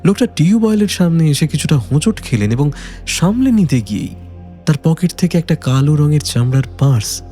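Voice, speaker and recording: A man's voice: fairly high pitch, big pitch swings, a little fast, warm tone, soft background music. A man narrating a detective audio story from a script in a dramatic style.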